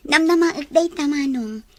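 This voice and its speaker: A woman's voice: high voice, slow, a bit bright, dry recording. A woman playing a wry, coy young office worker in an Ilocano radio drama, with a light conversational delivery. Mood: coy, amused.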